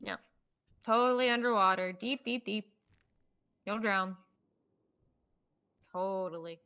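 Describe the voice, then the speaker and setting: A woman's voice: mid-range voice, slow pace, clear, resonant tone, dull audio, natural voice. A woman playing a cagey, stubborn law officer in casual online roleplay, teasing and sarcastically evasive. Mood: teasing, sarcastic.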